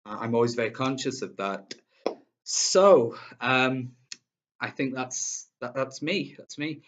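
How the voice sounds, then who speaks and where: A man's voice: medium pitch, slow pace, fairly bright tone. A young man from Manchester introduces himself as a teacher. He speaks earnestly and unhurriedly, working out his phrasing as he goes. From Manchester, England. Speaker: English teacher. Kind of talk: self-introduction to camera. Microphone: built-in webcam microphone. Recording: dull lo-fi webcam audio.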